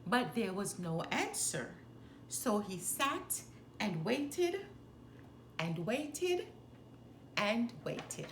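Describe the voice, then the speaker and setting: A woman reading a children's story aloud with expressive, animated, deliberate phrasing. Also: medium pitch. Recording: roomy echo, faint background noise.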